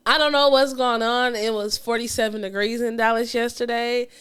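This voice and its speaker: A woman co-hosting a podcast in casual chat, congested with a cold, animated and swearing freely. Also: a little high, normal speed, clear, resonant tone. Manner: animated, swears freely, restarts mid-sentence. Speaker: podcast co-host.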